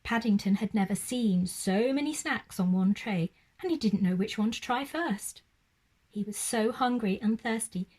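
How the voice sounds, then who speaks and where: Lady teacher reading a bedtime story, expressive, medium pitched voice.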